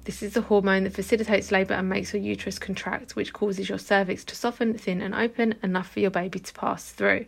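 Croaky, gravelly-voiced woman. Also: medium pitch, little pitch movement, a little fast, few pauses. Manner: chatty, informal, swears casually. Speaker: podcast host and hypnobirthing teacher. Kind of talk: casual solo podcast talk.

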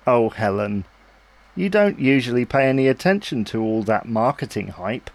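A man plays a reasonable young husband and father in a homely audio drama. His voice sits at a middling pitch with plenty of rise and fall. He coaxes gently and reassures as he goes. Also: lively intonation, normal speed, creaky. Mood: reassuring. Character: Harry, patient, reasonable husband and new father, coaxing. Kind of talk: naturalistic domestic audio drama acting.

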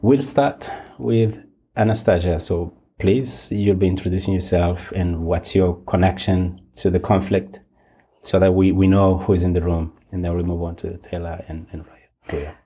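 A man hosting and moderating a panel discussion, speaking haltingly with many restarts. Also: sits low, fairly even intonation, mellow, husky. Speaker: host and moderator.

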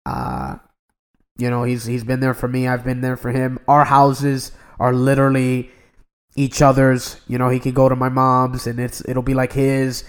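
A man's voice: mid-range voice, steady tone, moderate pace, clear, resonant tone. Man, young adult, podcast co-host, casual chat, earnest, rambling, many restarts.

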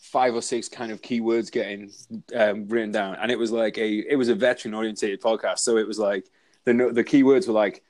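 A Welsh man guesting on a podcast over a remote call, talking in a relaxed, self-deprecating way with halting restarts. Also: fairly low pitch. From Wales. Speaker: guest, soldier and author. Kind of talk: casual interview chat.

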